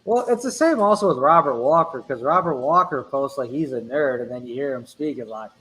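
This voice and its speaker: A man hosting a casual radio show, chatting in an animated way and reading out viewer comments. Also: medium pitch, a little fast, poor audio. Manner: animated, laughing, reads chat aloud. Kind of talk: casual banter.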